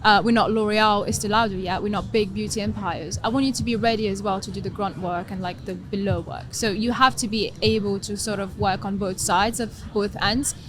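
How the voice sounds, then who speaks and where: A young adult woman from Morocco, a startup founder being interviewed, talking quickly and thinking aloud with many restarts. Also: mid-range voice, fairly even intonation, noisy background. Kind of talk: conversational podcast interview.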